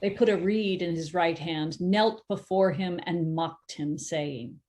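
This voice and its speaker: A woman reading scripture aloud as narrator in a church dramatic reading, in a steady, measured way with the odd self-correction. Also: on the low side. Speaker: scripture reader.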